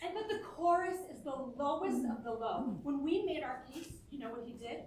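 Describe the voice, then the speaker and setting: A woman's voice: high voice, expressive, normal speed, a little mellow. An actress playing a blunt, aggrieved young chorus girl in a staged reading, with an emphatic, complaining manner. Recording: very echoey hall, distant mic, faint noise.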